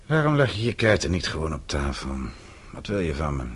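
Deep, gravelly-edged defiant captive. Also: male voice, very animated, exaggerated voice. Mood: weary, sardonic. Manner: sardonic, blunt, halting at times. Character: defiant, weary captive businessman, older father. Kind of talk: Dutch radio drama acting.

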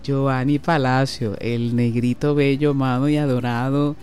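A man hosting a radio show from a studio, explaining at length and pausing often on fillers. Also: medium pitch, flat delivery, a little mellow. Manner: slow, explanatory, halting with fillers. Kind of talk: radio talk and interview.

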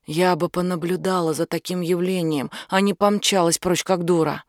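A woman's voice: on the low side, fairly even intonation, moderate pace, no echo, studio quality, lightly acted. A woman reading an audiobook as a shaken, stubborn and curious young woman who questions insistently. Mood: wondering. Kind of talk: audiobook character dialogue.

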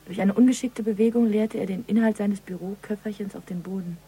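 An actress playing a shy, flustered young witness in a radio crime drama, speaking softly and haltingly. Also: female voice, mid-range voice, fairly even intonation, slightly rough, rough recording. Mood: hesitant, recollecting. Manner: halting, hesitant, soft-spoken.

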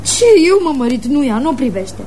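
Playful, high-voiced teenage girl in love. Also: expressive, a little mellow, a little hiss or hum. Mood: tender, frank. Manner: teasing, frank, girlish. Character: playful, frank, impulsive schoolgirl of almost eighteen in love. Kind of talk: radio theatre acting.

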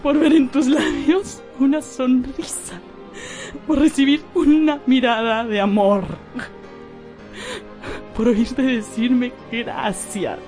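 A high-pitched, desperate and proud wife in a tragic radio drama. She speaks slowly and with theatrical passion, pleading and reproaching. Faint music plays behind her. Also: steady tone, darkish tone. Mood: wounded reproach. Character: desperate, proud, tragic married woman in anguish. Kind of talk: Spanish-language radio drama acting.

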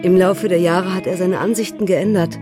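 A woman's voice: lowish voice, fairly even intonation, normal speed, music in the background, close to an everyday voice. A woman playing a frank, resigned wife in a radio documentary play, speaking plainly and conversationally. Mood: resigned, frustrated. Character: frank, resigned wife adjusting to her changed husband.

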